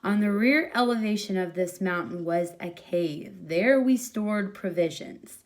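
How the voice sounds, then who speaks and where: A woman reading a short story aloud as expressive narration, with a medium-pitched voice. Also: a little slow. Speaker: story reader.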